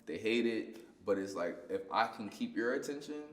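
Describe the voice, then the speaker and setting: Young New poet, low voice, easygoing slangy guest in an echoey room. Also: male voice, lively intonation, normal speed. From United States. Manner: laid-back slangy talk with frequent "like". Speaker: spoken word poet guest. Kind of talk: casual interview chat.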